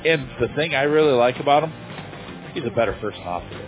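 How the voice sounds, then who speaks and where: Brief: man, late 40s, emphatic football color commentator, mid-pitched, phone-thin broadcast audio, faint music. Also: animated, fast. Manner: enthusiastic, emphatic, repeats words. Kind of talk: high school football radio commentary. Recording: tinny phone-like radio line with faint music.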